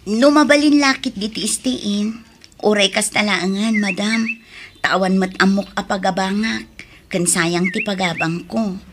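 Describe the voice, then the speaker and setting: A woman's voice: medium pitch, measured pace, lightly acted. A woman with an Ilocano accent acting in a radio drama as a humble, anxious housemaid, deferential and pleading. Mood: humble, eager. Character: humble, anxious young married housemaid, fearful but resourceful. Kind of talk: Filipino radio drama acting with inner monologue. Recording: noisy radio drama recording.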